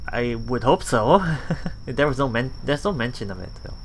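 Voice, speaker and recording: A man reading a visual novel aloud as a let's play host, halting and self-correcting, with muttered casual asides. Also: medium pitch, lively intonation, gravelly, a little hiss or hum, poor audio.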